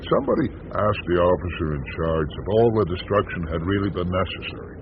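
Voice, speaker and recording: Actor as a deep-voiced, world-weary sardonic newspaperman. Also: a little fast, lightly acted. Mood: grave, weary. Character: world-weary, bitterly sardonic small-town American newspaperman. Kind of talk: radio drama acting. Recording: dull lo-fi radio broadcast, noisy.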